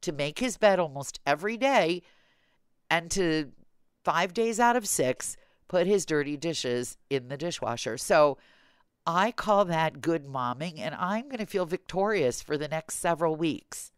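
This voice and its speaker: A woman's voice: sits low, expressive, measured pace, clean tone, no room sound, clean studio sound. A middle-aged woman podcast host telling humorous stories in casual chat, with a wry manner given to playful exaggeration.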